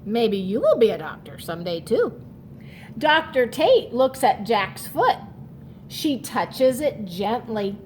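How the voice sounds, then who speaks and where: Expressive woman reading slowly to children. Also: a little high, slight background noise. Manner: instructive, checks understanding with short asides. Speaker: read-aloud teacher reading a children's book. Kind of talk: storybook read-aloud with explanatory asides.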